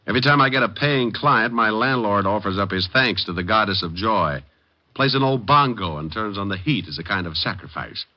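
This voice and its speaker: An actor playing a breezy, wisecracking hardboiled private detective with sardonic, slangy patter in old radio drama style. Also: male voice, lowish voice, lively intonation, a little fast, close to an everyday voice. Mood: wry, teasing. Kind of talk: 1940s-50s radio detective drama acting. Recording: muffled vintage radio recording.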